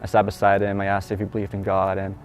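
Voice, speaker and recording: A man's voice: low voice, monotone, fast, soft background music. A teenage boy from the Netherlands with a Dutch accent, earnestly telling his personal faith story in a conversational way. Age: teens. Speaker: student. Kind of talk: personal testimony.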